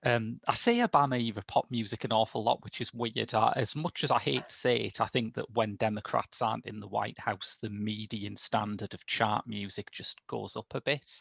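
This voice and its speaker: A man's voice: fairly low pitch, steady tone, normal speed, gravelly, hardly any pauses, fairly bright tone, breathy edge, dry recording. A man in casual film discussion as a panelist, wry and opinionated. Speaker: podcast panelist reviewing films. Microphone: remote call microphone.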